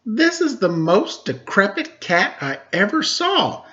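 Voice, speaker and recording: A male storyteller plays a proud feudal lord from a storybook. He is haughty, impatient and emphatic, pitched fairly high, on a slightly dull recording. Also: huge pitch swings, slow pace, bright, forceful tone, exaggerated voice. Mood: incredulous.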